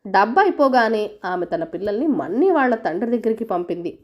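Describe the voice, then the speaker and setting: A woman's voice: medium pitch, sing-song, fairly quick, a little mellow. A woman narrating a scripted folk tale for children as a storyteller.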